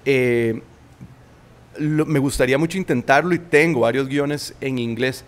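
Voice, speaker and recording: A man's voice: mid-range voice, unhurried. A man in his forties with a Costa Rican Spanish accent, a guest in a casual interview on a shaded veranda, speaking thoughtfully with many restarts. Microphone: studio mic on boom arm.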